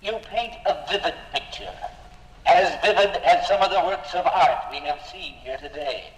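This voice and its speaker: A man's voice: a little high, normal speed, lightly acted. A man playing a courteous, admiring visitor to the countryside in 1950s radio drama style. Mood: wistful admiration. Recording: echoey 1950s radio recording, music behind.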